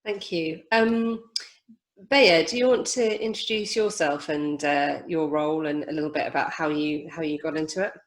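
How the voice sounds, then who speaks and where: A woman's voice: deep voice, moderate pace. A woman moderating a live panel, politely prompting each guest with brief questions.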